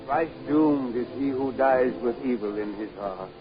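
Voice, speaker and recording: A man's voice: medium pitch, unhurried, close to an everyday voice. An actor playing a fatherly, solemn head monk who makes grave pronouncements, in a 1930s film serial. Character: solemn, fatherly monastery head speaking in proverbs. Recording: dull 1930s film soundtrack, faint music.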